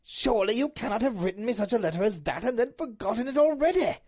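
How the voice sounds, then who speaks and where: A woman's voice: mid-range voice, big pitch swings, normal speed, husky, voice pushed up. A woman voicing a bewildered, indignant but doting duke in a storybook reading, with a pompous, emphatic, melodramatic delivery.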